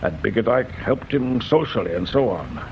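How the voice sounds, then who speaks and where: A man's voice: mid-range voice, very animated, normal speed, creaky, highs cut off, background noise. An actor playing an uneasy middle-aged English father in a radio drama, speaking in a hesitant, earnest, defensive way. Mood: confiding.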